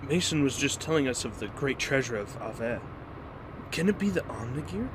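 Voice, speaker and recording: A man's voice: mid-range voice, lively intonation, moderate pace, noisy background, close to an everyday voice. A man playing a moody, reluctant young fighter in an audio drama, youthful and emotional. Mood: curious. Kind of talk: fan audio drama acting.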